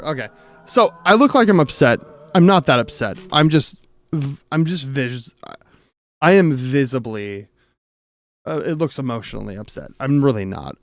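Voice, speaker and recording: A man's voice: medium pitch, dry recording. A man chatting casually as he tinkers on a livestream, thinking aloud in a rambling, self-interrupting way with casual swearing. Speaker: streamer and audio tinkerer. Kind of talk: casual livestream chat while repairing gear.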